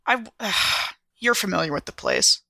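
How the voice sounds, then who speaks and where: Bright-voiced woman playing a tabletop game. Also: mid-range voice, expressive, slow pace, gravelly, dry recording, clean studio sound. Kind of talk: casual improvised roleplay chat.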